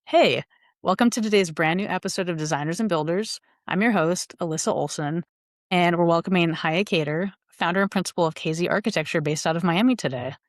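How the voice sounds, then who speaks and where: A woman's voice: fairly low pitch, a little fast, no room sound. A woman hosting a podcast interview in a chatty, enthusiastic, affirming way. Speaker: podcast host. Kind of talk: casual interview chat.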